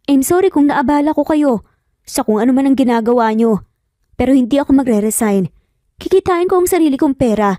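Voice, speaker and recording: A woman's voice: high voice, mellow, no echo, clean studio sound. A woman voicing a proud, stubborn, defensive young working mother in an audio romance.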